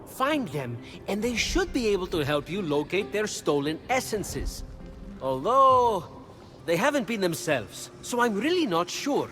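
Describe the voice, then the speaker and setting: Glib cheeky game trickster god, high lively voice, wry sales patter, music going behind. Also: male voice, a little slow, heavily acted. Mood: offhand.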